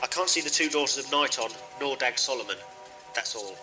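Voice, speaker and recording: A man playing an earnest, anxious companion in an audio drama, urgent and quick to protest. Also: medium pitch, animated, over music, natural voice. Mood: shaken. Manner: urgent, indignant. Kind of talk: fan audio drama acting.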